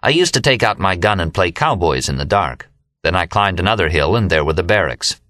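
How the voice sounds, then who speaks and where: Low-voiced American man narrating a novel. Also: expressive, a little fast, a bit bright, no room sound. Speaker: audiobook narrator. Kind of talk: scripted first-person novel narration.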